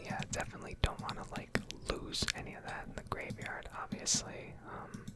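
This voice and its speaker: A woman giving casual, rambling game commentary in a soft ASMR whisper, hesitating as she goes, close to the microphone. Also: a little fast, loud music behind the voice. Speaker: gaming video host.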